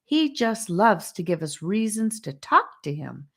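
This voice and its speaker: A woman's voice: mid-range voice, sing-song, unhurried, breathy edge, voice pushed up, exaggerated voice. A woman voicing a bubbly, theatrical little girl who talks in a sing-song, dramatic way. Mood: theatrical, giddy.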